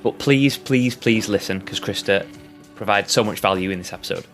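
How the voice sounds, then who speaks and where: A man's voice: lowish voice, moderate pace, a bit bright, faint music behind. A British man hosting a podcast, reading an upbeat intro and chatting with his guest. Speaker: podcast host.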